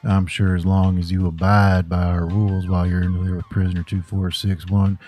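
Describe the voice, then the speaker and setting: An actor playing a brusque prison warden in a horror audio drama, speaking in a dry, procedural, authoritative way. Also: male voice, low voice, level tone, moderate pace, mellow, soft background music. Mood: businesslike.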